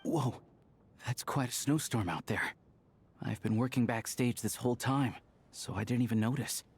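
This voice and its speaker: A dependable young workman in a video game, with a mid-range voice and a husky grain. He talks plainly and informally, easygoing yet steady. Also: medium pitch, normal speed. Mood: friendly surprise. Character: easygoing, dependable young male theater worker.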